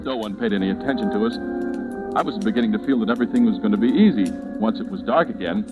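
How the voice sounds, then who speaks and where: An actor playing an anxious young American man with a halting delivery in a 1940s radio suspense drama. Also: on the low side, lightly acted. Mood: haunted, hushed. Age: young adult. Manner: halting, repeats words. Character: anxious young man secretly hiding a killing. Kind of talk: 1940s radio acting, first-person suspense narration. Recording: old radio recording with dramatic music.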